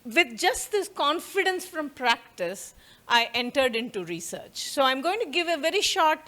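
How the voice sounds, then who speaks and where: A middle-aged woman with an Indian accent speaks to an audience in a university auditorium. Her voice sits high and has a bright edge. She talks fast and conversationally, with frequent light laughs. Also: sing-song. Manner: quick, warm, laughs often, conversational. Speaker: professor giving a talk. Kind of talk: conference talk to an audience.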